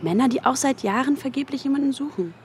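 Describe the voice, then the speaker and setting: An actress playing a caring, practical woman who talks in a gently persuasive way in a radio drama. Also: high voice, expressive, fast, slight background noise. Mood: encouraging. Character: caring, sociable, practical-minded female confidante. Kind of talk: German radio crime drama acting.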